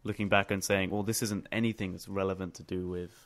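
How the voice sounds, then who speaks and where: A man's voice: low-pitched, flat delivery, fast talker, a bit bright. A man talking as a panelist in a casual discussion, musing and somewhat hesitant.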